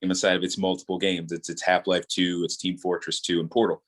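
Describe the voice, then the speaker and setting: Deep-voiced man in his thirties. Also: level tone, a little fast. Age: 30s. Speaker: guest, audio drama creator. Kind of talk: casual podcast interview.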